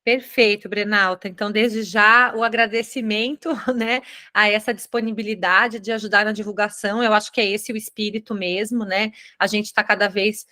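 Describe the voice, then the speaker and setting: Bright-voiced woman moderating a video call. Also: mid-range voice, steady tone, normal speed, few pauses. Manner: friendly, explanatory, frequent "né" tags. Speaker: moderator of a virtual Q&A forum. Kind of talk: online meeting moderation.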